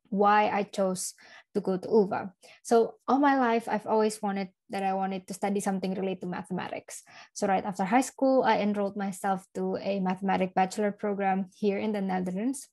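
A woman's voice: medium pitch, gravelly, a little mellow. A young woman from Indonesia, a university student, talking earnestly on a video call with plenty of ums. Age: young adult. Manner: earnest, conversational, frequent ums. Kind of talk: online info session talk.

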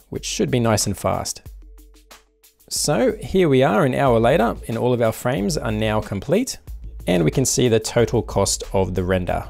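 A man hosting a screen-recorded software tutorial, explaining each step calmly in a voice-over. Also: medium pitch, vocal fry. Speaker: tutorial host.

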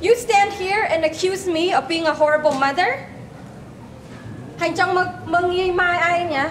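Reproachful immigrant mother played by a woman, very high voice, live stage reading in an echoey, slightly noisy room. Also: racing. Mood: angry, scolding. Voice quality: high-pitched, scolding. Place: large echoey public hall with glass balcony. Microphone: handheld microphone, heard through room speakers.

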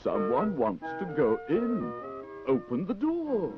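An actor voicing a bossy, droll penguin sidekick in a 1960s children's puppet show. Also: male voice, a little high, wildly swooping pitch, takes it slowly, full character voice. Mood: bossy, lazy. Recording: muffled 1960s TV soundtrack with music.

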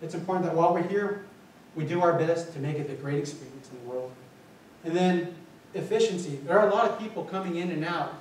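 Man, 40s, lively presenter, slightly high voice, heard from far off in a reverberant meeting room. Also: a little fast, a little hiss or hum. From Philippines. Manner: energetic, paces and gestures, asks the audience questions. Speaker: college administrator presenting. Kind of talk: conference presentation. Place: small meeting room with windows. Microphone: far camera, no visible mic. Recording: echoey room picked up from far off.

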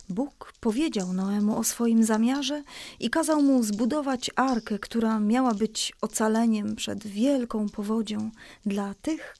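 A woman playing a gentle mother who patiently explains a Bible story in a children's audio drama. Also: a little high. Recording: faint music behind the voice.

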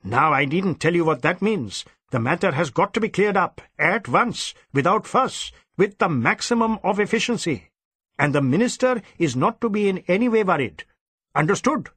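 An irascible Indian police chief, acted out by a male audiobook reader, cold and overbearing. His voice rides high and swings widely as he barks and repeats himself. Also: expressive, normal speed, a bit bright, slightly rough, dry recording, full character voice. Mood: stern, commanding. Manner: snapping, barking, repeats himself impatiently. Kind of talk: audiobook character acting.

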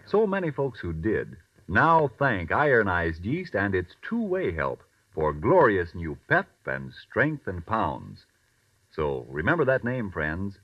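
Swooping low-voiced American radio pitchman. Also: dry recording, distant mic. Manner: polished, emphatic salesmanship. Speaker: commercial announcer. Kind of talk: 1940s radio advertising pitch. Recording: dull old radio recording.

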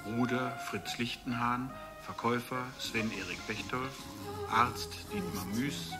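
A man announcing credits over music. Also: mid-range voice. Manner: plain, measured announcing. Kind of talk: reading radio play credits.

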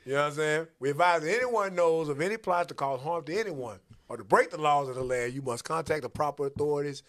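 A man, hosting a religious commentary show and teaching from the Bible in an animated, preacherly way. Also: fairly high pitch, fast. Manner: animated, preacherly, calls for verses. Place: small podcast studio. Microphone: boom-arm studio mic.

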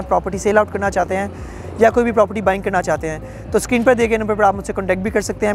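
A young man with a Pakistani Urdu accent, a real estate agent giving a confident, persuasive sales pitch to camera outdoors at a construction site on a lapel mic. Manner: confident, persuasive salesman tone with frequent filler phrases.

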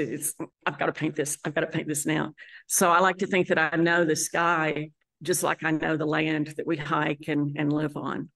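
A woman in her sixties from West Texas, with a West Texas accent, talking to camera in an earnest, relaxed way about her life as a landscape artist. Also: low-pitched, creaky. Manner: relaxed, earnest, personal storytelling. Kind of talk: casual talk to camera. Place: indoor art gallery room.

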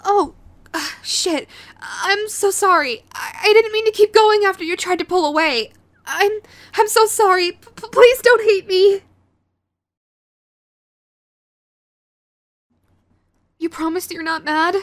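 Woman acting a high-voiced clingy, anxious girlfriend. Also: normal speed, fairly bright tone, no echo. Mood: panicked, apologetic. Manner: stammering, breathy gushing, apologetic. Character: sweet, clingy, anxious young yandere girlfriend. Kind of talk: romantic audio roleplay acting.

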